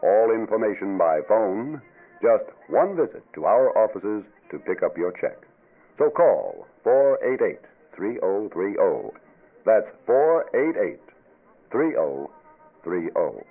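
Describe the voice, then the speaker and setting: Low-voiced male ad announcer, animated delivery. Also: slow pace, slightly rough. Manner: persuasive, emphatic. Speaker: commercial announcer. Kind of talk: scripted radio advertisement. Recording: tinny band-limited radio recording.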